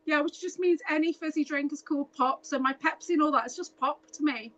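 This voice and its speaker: A woman's voice: high-pitched, brisk, a bit bright, muffled recording. Giggly woman with a light Scouse accent. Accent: light Scouse, softened northern English. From near Liverpool, England. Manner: giggly, chatty, laughs often. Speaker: guest. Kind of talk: casual podcast chat.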